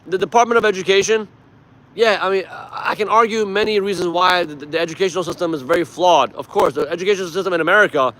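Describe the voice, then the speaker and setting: A man's voice: sits high, fast talker, fairly bright tone, low-quality recording. A man from Iran, a native Persian speaker, hosting a casual livestream and giving emphatic, informal political commentary with some swearing. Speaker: live stream host.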